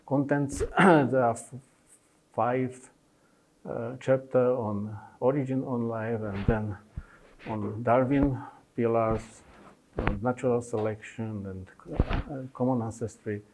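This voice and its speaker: A man in his fifties whose first language is Polish, presenting his book with many pauses, fillers and restarts in non-native phrasing. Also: mid-range voice, fairly even intonation, background noise. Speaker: consultant pediatrician.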